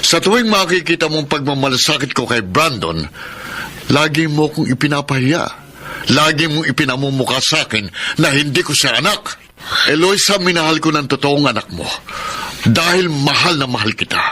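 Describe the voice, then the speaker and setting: A man's voice: fairly high pitch, animated, moderate pace, pushed and bright. A man with a Filipino accent playing a hurt, protective middle-aged stepfather in a radio drama, speaking earnestly. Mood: angry, hurt. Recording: noisy radio drama track with ambience.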